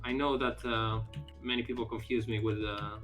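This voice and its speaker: A man's voice: medium pitch, fairly even intonation, normal speed, a bit bright, faint music behind. A man from Greece with a Greek accent, a game developer chatting casually on a live stream, talking with frequent fillers and restarts.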